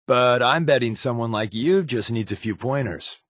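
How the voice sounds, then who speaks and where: A man's voice: mid-range voice, moderate pace, fairly bright tone, dry recording, clean studio sound, natural voice. A man playing a sly, wry undercover resistance agent in a video game, talking in a casual, glib, sardonic way. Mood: persuasive, wry. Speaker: video game companion character. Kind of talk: scripted game dialogue, conversational.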